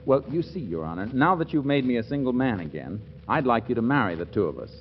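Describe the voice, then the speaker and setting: An actor playing an earnest, deferential young attorney in a 1940s radio comedy, polite and pleading, on a muffled, reverberant recording. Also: male voice, medium pitch, big pitch swings, quick pace, slight background noise, lightly acted. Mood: sheepish, deferential.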